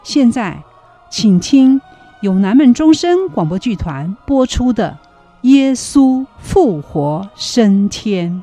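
Solemn woman narrator, slow and expressive. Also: a little high, faint music behind. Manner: solemn, reverent. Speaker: narrator and announcer. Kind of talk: dramatic scripted narration.

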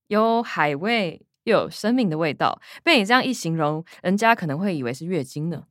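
A woman's voice: lowish voice, lively intonation, moderate pace, fairly bright tone, no room sound, studio quality. An actress playing a sarcastic, teasing young working woman, the older sister in a radio drama, with a Taiwanese Mandarin accent. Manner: sardonic, teasing.

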